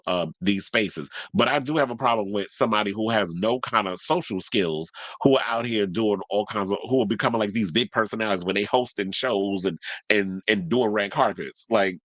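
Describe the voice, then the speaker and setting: Man, congested, stuffy nose, low voice, dry close sound, blunt gossip host. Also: a bit bright, no room sound. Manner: chatty, blunt, swears freely. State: congested from allergies. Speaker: celebrity gossip podcast host. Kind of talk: casual solo commentary.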